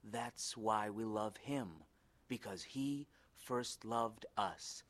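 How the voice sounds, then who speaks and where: An actor playing a kindly, patient church pastor who speaks in a gentle, reassuring way in a dramatized story. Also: male voice, fairly low pitch, drawn-out words, exaggerated voice. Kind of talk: dramatized scripted dialogue.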